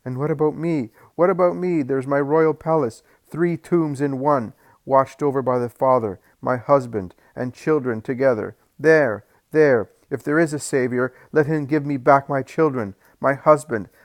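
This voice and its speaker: A man reading a storybook, voicing a grieving mother shouting in despair at a crowd. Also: medium pitch, normal speed, hardly any pauses, no echo, pitched above the performer's own voice, close to an everyday voice.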